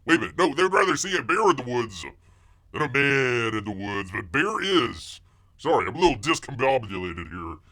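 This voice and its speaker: A man's voice: mid-range voice, sing-song, normal speed, pushed and bright, exaggerated voice. A man voicing a bumbling, blustery male bear who rambles, stammers, talks about himself in the third person and keeps calling out to people. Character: bumbling blustery male bear, self-styled woods veteran. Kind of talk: comic character monologue.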